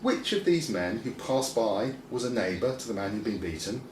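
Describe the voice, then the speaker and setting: A man voicing a calm, kindly teacher in a children's Bible story. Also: lowish voice, moderate pace. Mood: gentle, instructive. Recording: roomy, distant mic, faint noise.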